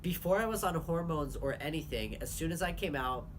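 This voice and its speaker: A young man hosting his vlog, talking candidly in a casual chat with quick asides. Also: a little high, expressive, noisy background. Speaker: vlogger host.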